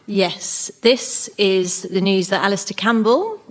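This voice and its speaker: A woman's voice: medium pitch, slow. A woman speaking as a comedy panellist in conversational stand-up banter, with a hesitant, self-mocking manner. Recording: live radio show with audience laughter.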